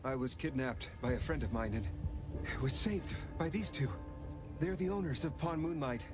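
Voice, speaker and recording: A man's voice: medium pitch, moderate pace, lightly acted. A man playing an earnest perfumer who explains himself candidly in an anime-style game. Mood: candid, explaining. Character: earnest, confessional rescued male perfumer. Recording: loud music under the voice.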